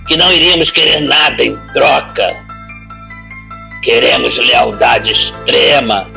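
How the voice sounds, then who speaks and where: Menacing, gravelly crime boss. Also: male voice, medium pitch, pushed and bright, full character voice. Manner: commanding, threatening, drawn-out emphasis. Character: menacing, foul-mouthed crime boss bullying a young hacker. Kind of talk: audio drama acting.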